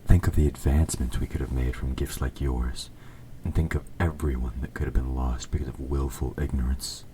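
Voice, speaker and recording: Guy playing a super low voiced evil king, dark and mellow, polite but quietly menacing, formal fantasy roleplay, bit of background noise. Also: steady tone. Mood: earnest, persuasive. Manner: formal, courtly, measured. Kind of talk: scripted audio roleplay addressed to the listener.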